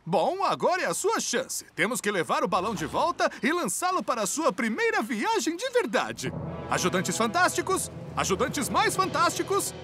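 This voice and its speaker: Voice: high voice, wildly swooping pitch, moderate pace, sharp tone, a little hiss or hum, full character voice. A voice actor playing an enthusiastic balloon-launching showman in a cartoon dub, announcing grandly. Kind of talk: Brazilian Portuguese cartoon dub.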